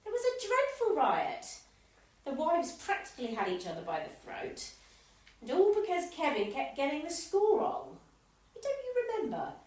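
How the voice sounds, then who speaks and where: Woman acting an animated, indignant club gossip. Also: high-pitched, normal speed. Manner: emphatic, exasperated storytelling. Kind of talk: amateur radio comedy acting. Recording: very echoey room, far from mic.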